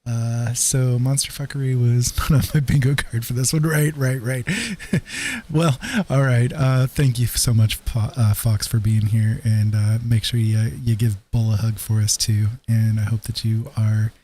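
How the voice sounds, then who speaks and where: A man's voice: mid-range voice, fairly even intonation, unhurried, mellow. A man hosting a casual livestream, rambling and hesitant as he chats to viewers. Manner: rambling, hesitant, chuckling asides. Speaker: livestream host.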